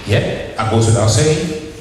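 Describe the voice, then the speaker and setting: A man's voice: medium pitch, expressive, a little slow, noisy background. Ghanaian man preaching in an echoey hall. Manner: rhetorical questions to the congregation. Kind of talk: sermon. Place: church hall. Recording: echoey hall, mic far off.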